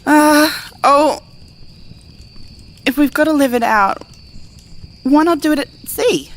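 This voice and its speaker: A woman's voice: sits high, slow pace. A woman acting as a worn-out, complaining survivor in an audio drama, speaking naturally with a sarcastic, grumbling manner. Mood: insistent. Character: Jackie, weary complaining female survivor in a plague-ridden America.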